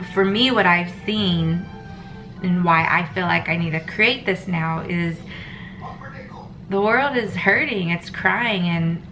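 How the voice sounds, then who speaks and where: A woman with a lowish voice and a stuffy, congested head cold speaks to her camera in a casual vlog. She rambles earnestly and from the heart, full of ums and pauses. Clear music plays behind her. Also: rough recording. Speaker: family vlogger. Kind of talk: casual personal vlog monologue.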